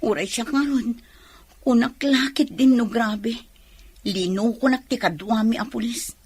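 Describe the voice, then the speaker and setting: Female actor as feisty big sister, highish raspy voice, chatty, plain-spoken, comedic radio drama. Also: measured pace, darkish tone.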